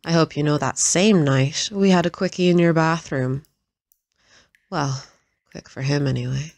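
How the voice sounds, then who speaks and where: An actress playing a cruel, gloating female villain in an audio drama, taunting with drawn-out emphasis. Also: deep voice, a little mellow, no room sound. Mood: smug, taunting.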